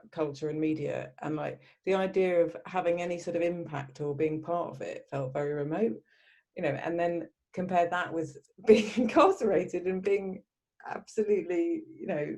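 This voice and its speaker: A woman's voice: lowish voice, darkish tone. A woman in a casual panel discussion, speaking informally and tentatively, with loose, broken phrasing.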